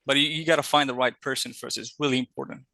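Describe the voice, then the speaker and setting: Man, mid pitch, sharp bright tone, dry sound, emphatic, casual sales instruction. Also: fairly even intonation, quick pace, creaky, no room sound. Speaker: sales team member explaining cold-calling.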